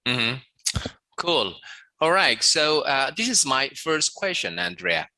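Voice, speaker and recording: A man's voice: on the high side, expressive, slow pace, vocal fry, sharp tone, dry recording. A man hosting a podcast interview, polite and upbeat as he introduces the guest and asks questions. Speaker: podcast host.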